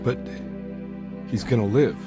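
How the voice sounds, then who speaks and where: Actor as a weary video game detective. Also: male voice, medium pitch, a little slow, over loud music, close to an everyday voice. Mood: confused, wry. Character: weary, gruff private detective, man. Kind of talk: cinematic horror game acting.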